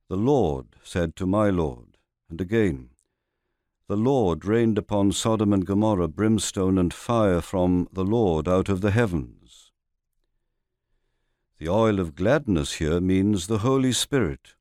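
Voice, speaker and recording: A man with a fairly low voice reads a sermon very slowly, in a close, dry recording. His delivery is formal and measured, setting out scripture phrase by phrase. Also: darkish tone, no echo. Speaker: reader of a historical sermon. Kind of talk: scripted sermon reading, expository.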